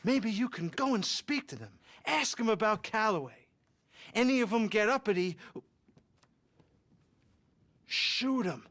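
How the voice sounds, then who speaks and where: A man's voice: high-pitched, animated, moderate pace, a bit bright. A man playing an eager, flustered, bookish biographer in a western video game, with an earnest, wordy manner. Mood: pleading. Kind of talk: video game dramatic acting.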